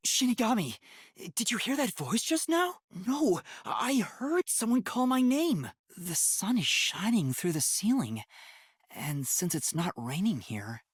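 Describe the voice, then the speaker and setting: A soft, breathy boyish video game detective, earnest and timid, with a polite, hesitant way of speaking. His pitch swings widely from line to line. The recording is very dry and close. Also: no room sound, heavily acted. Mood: startled, alert, then pensive, hesitant. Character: earnest, timid young male detective trainee. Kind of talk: English video game voice acting.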